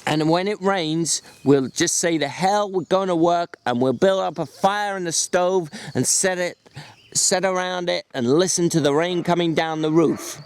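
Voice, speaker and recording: Man acting a bossy, folksy ranch hand, pitched up. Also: normal speed, clear, resonant tone. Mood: wistful. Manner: folksy, instructing, colloquial. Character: George, sharp bossy protective migrant ranch hand. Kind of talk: dramatic storybook reading.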